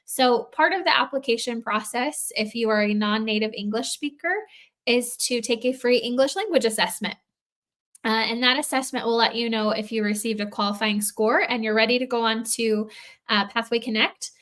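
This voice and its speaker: A woman's voice: fairly high pitch, moderate pace, a bit bright. A woman answering questions in a live Q&A, explaining in a conversational way. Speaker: guest answering questions on a livestream.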